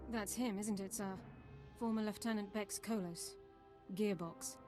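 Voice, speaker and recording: A woman's voice: medium pitch, fairly even intonation, measured pace, lightly acted. A woman playing an earnest, deferential military subordinate in a video game, formal and dutiful. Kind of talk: video game cutscene acting. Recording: game soundtrack music behind.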